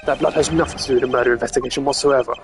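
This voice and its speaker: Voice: medium pitch, fairly even intonation, vocal fry, music in the background, lightly acted. Streamer putting on a pompous, smug older male villain. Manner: pompous, sneering, deliberate.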